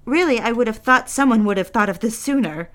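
Woman acting gushing, high-voiced society housewife. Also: expressive, a little fast, a bit bright. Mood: coaxing. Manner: genteel, gushing, coaxing. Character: eager, lonely, well-mannered mid-century society housewife. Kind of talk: period audio drama acting.

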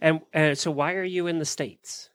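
A man hosting a casual interview, asking questions in a halting way and often rephrasing them. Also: a little high, fairly even intonation, fairly bright tone, clean tone, no echo. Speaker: interview host.

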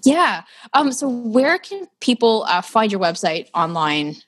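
A woman's voice: a little high, sing-song, unhurried. A Canadian woman hosting a podcast, chatty and enthusiastic, with many fillers. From Ottawa, Canada. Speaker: podcast host. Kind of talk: casual podcast interview.

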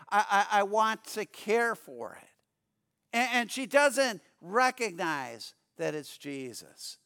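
A man preaching a sermon as pastor, earnest, often stumbling and repeating words, dropping lower and quieter to read scripture. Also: smooth.

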